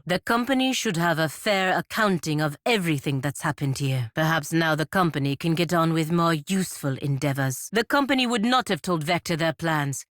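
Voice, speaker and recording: An actress playing a proud, stern paladin envoy in a fantasy video game, speaking in a formal, haughty, measured way. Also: female voice, low-pitched, no echo, clean studio sound. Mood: formal, respectful. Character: proud, stern, dutiful female paladin envoy of a merchant republic.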